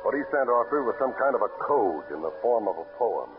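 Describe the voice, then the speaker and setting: A man's voice: medium pitch, very animated, fairly quick, over music, close to an everyday voice. A man playing a sly, menacing ex-convict in an old-time radio western, with slangy tough-guy talk. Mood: sly, scheming. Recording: muffled, noisy old radio recording.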